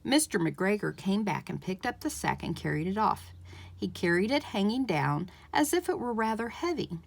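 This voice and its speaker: A woman reading a children's storybook aloud as a library storyteller, with expressive, dramatic emphasis. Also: medium pitch, moderate pace, vocal fry.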